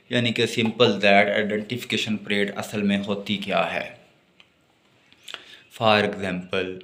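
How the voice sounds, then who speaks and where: A man's voice: medium pitch, a bit bright. A man with a Pakistani accent giving a law lecture, reading notes aloud and explaining them in a didactic way.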